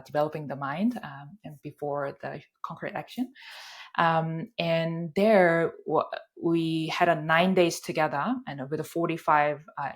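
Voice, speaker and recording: A woman guest on a conversational podcast, speaking earnestly and searching for words with many fillers. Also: low-pitched.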